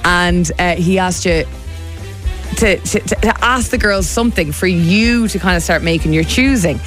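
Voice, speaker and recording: A woman's voice: lowish voice, normal speed, fairly bright tone, soft background music. A woman hosting a radio show, telling stories in a casual, teasing countdown style. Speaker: radio host.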